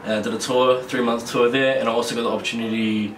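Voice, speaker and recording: A young man with a New Zealand accent chats as a guest, laughing easily and circling back through restarts. His voice is pitched middling for a man. He sounds distant from the mic in an echoey room with faint noise behind. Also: little pitch movement, quick pace. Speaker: guest wrestler. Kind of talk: casual interview chat.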